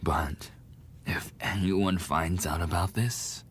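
A young man playing a lovesick, defensive school bully in a boyfriend ASMR roleplay, speaking haltingly in a husky, breathy voice. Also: deep voice, fairly even intonation, full character voice. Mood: grudging, confessing. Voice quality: husky, breathy, often dropping to a whisper. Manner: halting, swearing, coughing between phrases.

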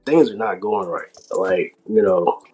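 Husky low-voiced American man, halting speech. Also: lively intonation, warm tone, soft background music. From Georgia, United States. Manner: halting, filler-heavy delivery with self-described speech impediment. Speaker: podcast guest, hip hop artist. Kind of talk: casual interview talk.

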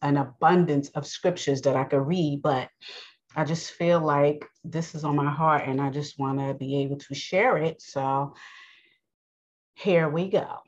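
A woman with a New York accent, host of her own podcast, giving an earnest, unhurried spoken sermon with many pauses. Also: deep voice, fairly even intonation, muffled recording.

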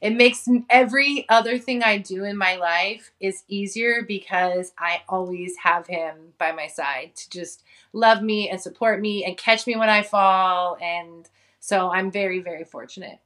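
A woman's voice: medium pitch, measured pace, fairly bright tone. A woman in her forties from Hawaii, talking as an interview guest in a chatty, casual way with many fillers and frequent laughter. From Hawaii, United States. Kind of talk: casual conversation.

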